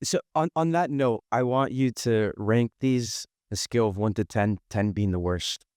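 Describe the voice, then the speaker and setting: A man hosting a podcast interview, putting brisk, direct questions to his guest. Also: on the low side, a little mellow, clean tone, no room sound, clean studio sound. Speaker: podcast host.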